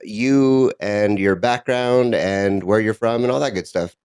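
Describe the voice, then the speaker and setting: A man's voice: on the low side, measured pace, a little mellow, clear, resonant tone, dry recording, clean studio sound. A man hosting a podcast, reading a scripted intro and then chatting in an upbeat, encouraging way. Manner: upbeat, encouraging, laughs often. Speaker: podcast host.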